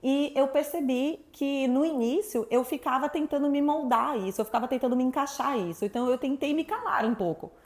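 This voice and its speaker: Woman, fairly high voice, very talkative, expressive storyteller, casual interview guest. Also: fairly quick, few pauses. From Salvador, Brazil. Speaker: guest, sexuality professional.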